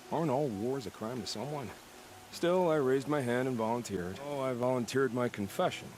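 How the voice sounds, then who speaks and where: An actor playing an unsettlingly calm, death-loving former soldier in a video game, musing and savoring his menace in measured phrases. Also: medium pitch, a little hiss or hum, natural voice. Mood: wry, reflective. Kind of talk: video game dramatic acting.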